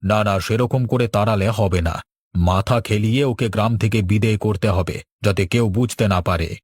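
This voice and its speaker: A man's voice: lowish voice, normal speed, husky, no room sound. A man voicing a scheming village strongman in a cartoon, conspiratorial and commanding. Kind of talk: cartoon dialogue.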